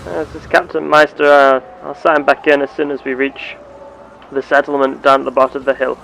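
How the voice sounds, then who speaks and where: A man playing a genteel space captain and explorer, speaking in formal, measured, old-fashioned wording as he narrates a game in character. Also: medium pitch, little pitch movement, gravelly, a bit bright, a little hiss or hum, low-quality recording. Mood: composed.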